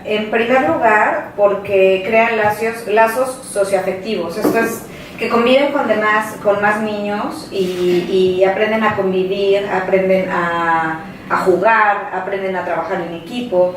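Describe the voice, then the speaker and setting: A woman's voice: mid-range voice, unhurried, a little hiss or hum. Earnest woman guest from Mexico. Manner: earnest, explanatory, with fillers. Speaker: pedagogy graduate guest. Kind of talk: panel discussion. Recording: roomy studio heard from a distance.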